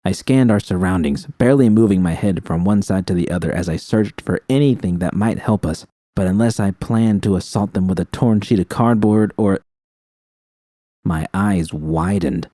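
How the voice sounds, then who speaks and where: A man narrates a scripted first-person story. His voice is deep and somewhat dark. The recording is dry and close, like an audiobook. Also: normal speed, no room sound.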